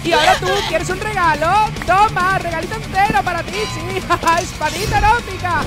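A performer voicing a flamboyant, vain, manic star guardian in an animated fandub, melodramatic, with drawn-out shrieking exclamations. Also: very high voice, loud music behind the voice. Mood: gleefully menacing. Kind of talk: over-the-top animated fandub acting.

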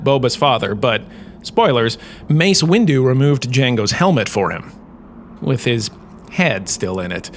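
A man's voice: medium pitch, slight background noise. A man playing a glib, theatrical university lecturer who gives a scripted comic talk with wry, chatty asides. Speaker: host.

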